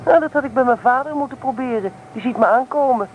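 A high-pitched young woman played by an actress in a radio drama, teasing and assertive. She talks bluntly and with self-assurance, on an old recording with some background noise. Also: fast, lightly acted, rough recording. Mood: irritated. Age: young adult. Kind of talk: radio play acting, naturalistic dialogue.

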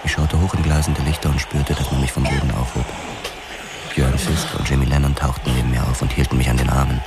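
A hard-boiled boxer-cop tells his story in a very low, bottomed-out voice. His delivery is terse and grim, in the style of a noir radio drama. Music plays clearly underneath. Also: flat delivery, fast talker. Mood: tense, grim. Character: hard-boiled boxer turned Los Angeles policeman narrating his past. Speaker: narrator and lead actor. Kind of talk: scripted first-person noir radio drama narration. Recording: music behind the narration.

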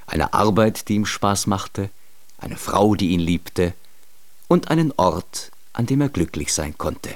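A man's voice: low-pitched, lively intonation, a little slow, no room sound. A man narrating a children's fairy tale in a radio play, in a storytelling style.

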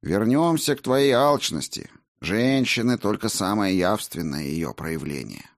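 A stern Buddhist monk teacher from a storybook, voiced by a male narrator, blunt and scornful. He instructs in a didactic way. His voice sits fairly low, and the sound is dry and close. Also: animated, a little slow, no echo.

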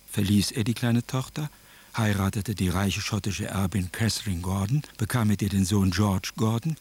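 A man narrating a scripted documentary radio drama in a measured, matter-of-fact way. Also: lowish voice, a little mellow, husky.

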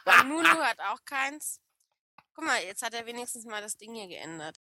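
German woman w/ a dialect, bright higher voice, dry close sound, joking around on a podcast stream. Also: big pitch swings, moderate pace, dry recording. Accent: German regional dialect. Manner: playful, reads chat names aloud, frequent laughs. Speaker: podcast co-host. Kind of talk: casual streamed podcast chat.

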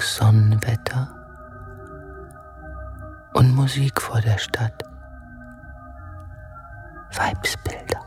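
A woman playing a tormented, jealous soldier in a radio play, muttering in halting, whispery, repeated fragments. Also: very deep voice, darkish tone, heavily acted. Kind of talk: dramatic radio play monologue. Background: music.

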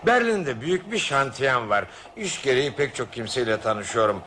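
A man's voice: mid-range voice, lively intonation, moderate pace, pushed and bright, a little hiss or hum. An actor playing a talkative, self-righteous businessman in a radio drama, given to rhetorical questions. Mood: conversational, opinionated. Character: opinionated, self-righteous businessman travelling by night train.

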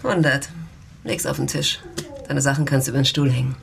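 An actress in a German radio drama playing a tough, guarded prostitute who talks curtly and bluntly. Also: female voice, rumbling low, brisk, vocal fry, slight background noise. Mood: businesslike. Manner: curt, wary, blunt. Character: tough, guarded red-haired brothel prostitute, streetwise and proud.

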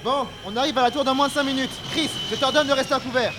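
A man's voice: extremely high pitch, fairly even intonation, quick pace, background noise, voice pushed up. A man acting a curt, commanding rebel warrior captain barking urgent short orders in an audio drama. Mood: stern, urgent.

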